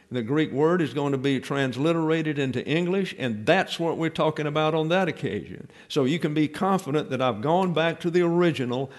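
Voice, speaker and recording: A man preaching a Bible teaching sermon from the pulpit, explaining patiently, leaning hard on key points, with frequent fillers and restarts. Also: on the high side. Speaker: pastor-teacher.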